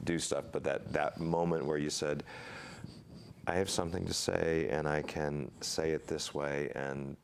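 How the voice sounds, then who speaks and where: A man hosting a casual interview chat, asking halting questions with many restarts and affirming his guest. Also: very deep voice, little pitch movement, vocal fry, slight background noise. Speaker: host. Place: studio set made to look like a porch.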